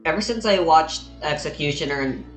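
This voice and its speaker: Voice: medium pitch, animated, a little fast, a bit bright. Streamer, casual gameplay commentary, wry, offhand, echoey room, faint game music. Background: game audio.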